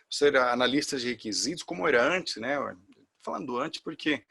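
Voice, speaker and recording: A man's voice: mid-range voice, animated, fairly quick, creaky, sharp tone, slightly rough. A middle-aged man from Chile with a Chilean Spanish accent, Spanish his first language, teaching a class in Portuguese with many fillers and restarts. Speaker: course instructor. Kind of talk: lecture to a class.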